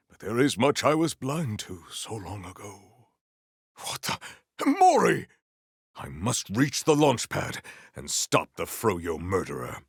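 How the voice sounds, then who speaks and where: A man's voice: fairly low pitch, vocal fry, fairly bright tone, no echo, studio quality, exaggerated voice. A man playing a solemn, proud warrior in a video game, speaking with formal, stately diction and dramatic weight. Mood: measured, dry, then fierce, shouting. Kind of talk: scripted game character lines.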